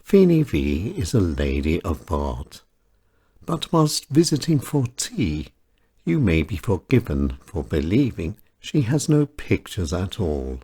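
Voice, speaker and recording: A man reading his own short stories as a scripted narration, in deliberate, emphatic short phrases. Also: deep voice, sing-song, mellow, husky.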